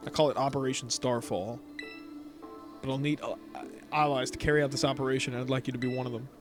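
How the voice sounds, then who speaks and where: A man voicing a mysterious phone caller who plans to take down a gang, reading the lines in a calm, earnest way while playing a game. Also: medium pitch, fast talker, music in the background, lightly acted. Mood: scheming.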